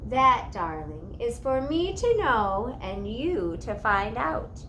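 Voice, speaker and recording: Lady doing a theatrical eccentric great-aunt from a storybook, coy, swoopy high voice, noisy recording. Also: big pitch swings, slow pace, a bit bright, exaggerated voice. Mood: teasing.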